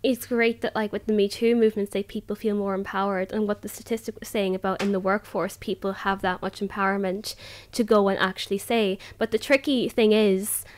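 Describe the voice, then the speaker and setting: A nineteen-year-old woman with an Irish accent talks in a casual roundtable. She is chatty and conversational, telling personal stories and acting out bits of what she thought or said. Her pitch is average for a woman. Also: a little fast, hardly any pauses. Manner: chatty, animated filler "like", quotes herself. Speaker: roundtable participant. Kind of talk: casual personal storytelling in group discussion.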